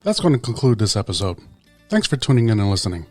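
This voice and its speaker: A man's voice: fairly low pitch, sing-song, fast, no echo. A man reading a scripted podcast outro as the announcer. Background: music.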